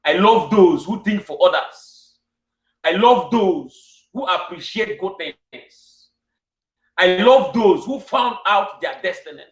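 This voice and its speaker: A man's voice: high voice, expressive, slow pace. A man from Cameroon with a West African accent, speaking in earnest, repetitive declarations as an interviewer. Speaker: interviewer and host. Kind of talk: earnest monologue and interview questions. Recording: distant, echoey room sound.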